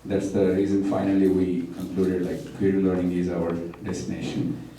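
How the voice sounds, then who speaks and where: A man introducing a guest speaker, halting and full of fillers. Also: low-pitched, little pitch movement, mellow. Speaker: local center partner introducing the speaker. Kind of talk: hesitant introduction speech. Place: large echoey room. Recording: echoey room from far off, faint noise.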